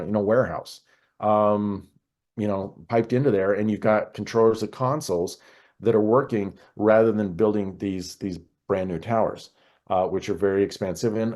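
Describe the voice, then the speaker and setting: Male American interview guest, expert register, low pitch, conversational and halting. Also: darkish tone. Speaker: podcast guest, air traffic control expert.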